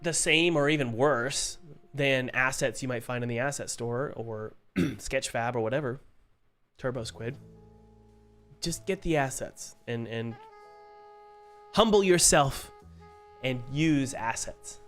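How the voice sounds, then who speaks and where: A young man hosting a casual live stream as an indie game developer, a bit hoarse from a cold, talking loosely with lots of fillers and restarts. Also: medium pitch, slow, long pauses, fairly bright tone, soft background music. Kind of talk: casual live-stream chat answering viewers. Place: home studio. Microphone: studio mic.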